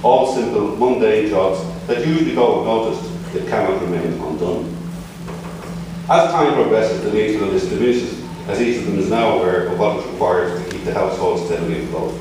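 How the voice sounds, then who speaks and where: An Irish man reading his own memoir piece aloud at a book launch, measured with dry asides. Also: on the low side. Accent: Irish, not from Limerick. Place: large echoey museum hall at a book launch. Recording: echoey hall, voice from far off, noisy.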